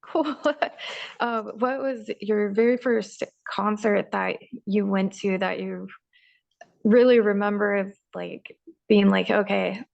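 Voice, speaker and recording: A woman's voice: medium pitch, steady tone, slow pace, creaky, darkish tone, no room sound. A woman interviewing a musician over a video call from a room full of equipment cases, asking hesitant, rambling questions. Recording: dull, dry lo-fi sound.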